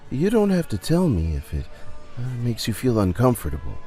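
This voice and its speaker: A man voicing a concerned male friend in an audio drama, soft, hesitant and reassuring. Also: mid-range voice, huge pitch swings, a little fast, slightly rough, faint music behind. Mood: gently reassuring. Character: concerned, gentle male friend offering comfort.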